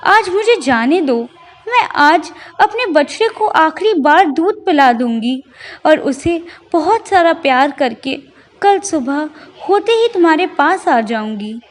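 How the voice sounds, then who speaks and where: A woman voicing a gentle, earnest mother cow in a children's story, speaking slowly and imploringly. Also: high voice, animated. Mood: tearful pleading. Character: gentle, pleading mother cow, honest and devoted.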